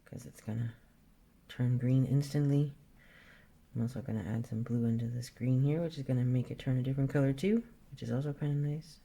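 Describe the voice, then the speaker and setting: Gravelly, mellow woman chatting while drawing. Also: very deep voice, fairly quick. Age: 40s. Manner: chatty, thinks aloud, trails off mid-sentence; relaxed, self-talk about the colors. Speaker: art vlogger. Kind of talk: casual chat while drawing.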